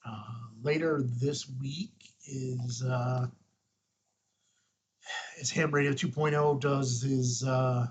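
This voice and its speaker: A man hosting an unscripted, casual software walkthrough, thinking aloud and murmuring to himself as he works. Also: medium pitch. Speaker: host of a radio tutorial.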